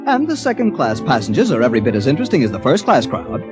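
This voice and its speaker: An actor playing a timid, eager-to-please American clerk on holiday in an old-time radio drama, fussy and hesitant. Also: male voice, mid-range voice, huge pitch swings, quick pace, over music. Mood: chatty, self-satisfied.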